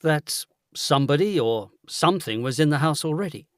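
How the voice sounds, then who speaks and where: An actor playing a courteous, earnest gentleman investigator in a gothic audio drama, with a genteel old-fashioned manner. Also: a little high, lively intonation, normal speed, no echo, studio quality, natural voice. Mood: earnest, puzzled.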